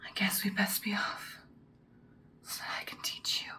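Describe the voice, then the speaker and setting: A woman playing a commanding female vampire mentor in a whispered ASMR roleplay, speaking softly, breathily and deliberately. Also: close to an everyday voice. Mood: hushed, weary. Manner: slow, deliberate, instructing, ominous. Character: ancient-seeming female vampire mentor, commanding, once ruthless, now good.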